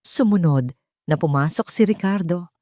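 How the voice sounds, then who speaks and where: Low-voiced woman narrating drama. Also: expressive, moderate pace, dark tone, dry recording, studio quality. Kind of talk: scripted dramatic story narration.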